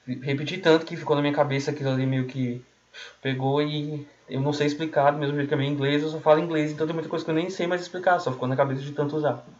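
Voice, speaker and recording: A man's voice: on the high side, fairly even intonation, racing, darkish tone. A man with a Brazilian accent giving informal language-learning tips in a colloquial way, often repeating and rephrasing himself. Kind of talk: informal explanatory talk. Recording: dull, muffled lo-fi audio.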